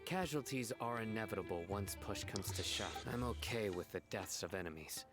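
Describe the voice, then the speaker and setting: An actor playing a stern, principled male law enforcer in a video game, speaking in a measured, formal, serious way. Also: fairly low pitch, natural voice. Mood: earnest, measured. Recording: game music behind. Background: game soundtrack music.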